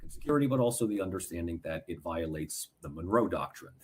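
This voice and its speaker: A man's voice: sits low, expressive, moderate pace. A man giving conversational analysis as an interview guest.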